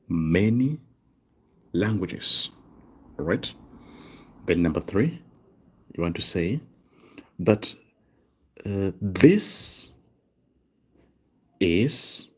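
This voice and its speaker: A man teaching German online, slowly repeating each phrase word by word and checking in with "right?". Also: low voice, breathy edge. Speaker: German tutor. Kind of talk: online language lesson, writing on cards. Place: desk indoors.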